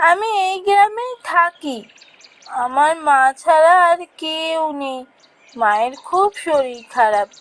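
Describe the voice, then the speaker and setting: A woman's voice: very high-pitched, heavily acted. A woman voicing a poor, dutiful young village flower-seller, worried and earnest, in a fairy-tale cartoon. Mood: plaintive. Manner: plaintive, earnest.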